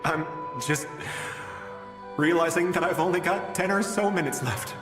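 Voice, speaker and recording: An actor playing a despairing father from an ancient age in a video game, speaking haltingly with gentle, polite resignation. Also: a little high, lightly acted. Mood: weary, resigned. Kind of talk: dramatic video game voice acting. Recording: echoey hall reverb under music.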